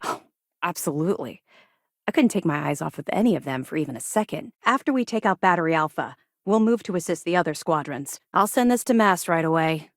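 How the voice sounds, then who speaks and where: An actress playing a disciplined, earnest space-navy officer with a crisp military manner in a video game. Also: female voice, low voice, expressive, brisk, no echo, studio quality. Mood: businesslike. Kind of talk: video game character dialogue.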